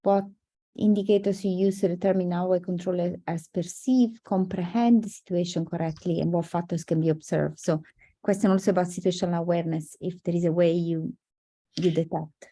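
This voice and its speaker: A woman's voice: lowish voice, fairly even intonation, a little fast, mellow. A woman hosting an online seminar, thanking the speaker and relaying questions in an informal, spoken way.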